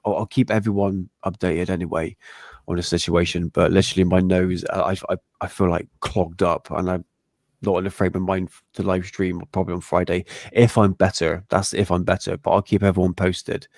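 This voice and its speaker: Low gravelly congested man. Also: little pitch movement, brisk, a little mellow, no room sound, studio quality. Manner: laid-back, friendly, calls the other host mate. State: congested, blocked nose. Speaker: podcast co-host. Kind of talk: casual live-stream chat.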